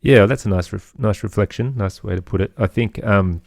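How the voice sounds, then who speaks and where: Australian man, very low voice, gravelly fry, dry close recording, agreeable conversational interview guest. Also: brisk, dry recording. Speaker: podcast guest, musician and composer.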